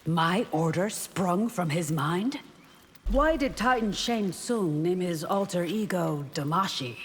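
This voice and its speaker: Low-voiced, determined bodyguard warrior. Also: female voice, expressive, slow. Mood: determined, urgent. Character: devoted, determined female royal bodyguard warrior. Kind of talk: video game character acting. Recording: clear background noise.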